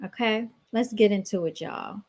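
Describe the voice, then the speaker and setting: A woman's voice: medium pitch, moderate pace, a little mellow, breathy edge. A woman with a mid-range voice hosts a casual commentary podcast. She talks straight to her listeners in a chatty, mocking tone. Her delivery is loose and informal. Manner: chatty and sarcastic, laughs often, keeps addressing listeners. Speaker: podcast host. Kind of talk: casual commentary reacting to clips.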